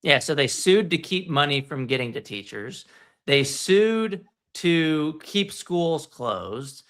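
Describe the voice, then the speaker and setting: A man's voice: on the high side, big pitch swings, slow, a bit bright. A man hosting an interview, asking questions in a casual, chatty way with restarts and fillers. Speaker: interview host. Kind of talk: conversational interview.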